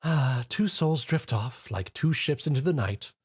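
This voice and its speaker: A man's voice: mid-range voice, animated, unhurried, breathy edge. A man voicing a theatrical female fashionista pony with florid, melodramatic delivery in a story reading. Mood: poetic musing.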